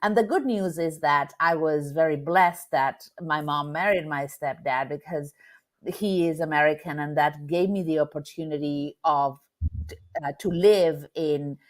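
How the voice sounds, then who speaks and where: Woman guest, low voice, candid, restarts a lot, video call audio. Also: a little slow. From Argentina. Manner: candid, with many fillers and restarts. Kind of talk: casual storytelling interview.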